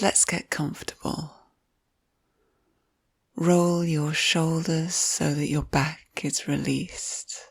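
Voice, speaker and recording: Woman, guided visualisation narrator, very slow delivery, soft breathy endings, gentle instructions, close dry sound. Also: sits low, no room sound. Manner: soothing, unhurried, gentle instructions.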